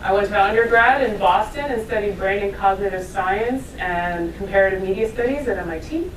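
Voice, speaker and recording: A woman's voice: medium pitch, fairly quick, far from the mic, a little hiss or hum. A woman in her thirties from New York giving a casual, self-deprecating talk to a live audience, with asides and laughs. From New York, USA. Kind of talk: conversational lecture to a live audience. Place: lecture room with audience. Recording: echoey room, voice from afar.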